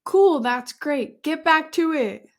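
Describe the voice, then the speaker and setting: A woman in her early twenties hosts her own podcast in an unhurried, drawn-out way. She giggles often and stresses her points with emphatic, casual pep, now and then with a mild swear word. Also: high-pitched, expressive. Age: 20s. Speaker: podcast host. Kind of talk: casual solo podcast talk.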